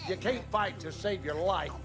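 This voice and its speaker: A man's voice: high-pitched, moderate pace, over loud music, close to an everyday voice. An actor playing an abusive, bitter Southern husband and father, coarse and menacing, in a dramatic video game, with a Southern US accent. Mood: furious contempt. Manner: menacing, coarse, folksy cursing.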